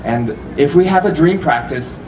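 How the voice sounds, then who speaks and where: Man, middle pitch, easygoing festival speaker who quizzes the crowd, far from the mic in a noisy, echoey room. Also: normal speed. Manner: relaxed, chatty, laughs often, asks the audience questions. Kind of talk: live public talk to an audience. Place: festival venue with a live audience. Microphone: handheld or stand microphone, often held far off. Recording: roomy echo, distant mic, audible hall noise.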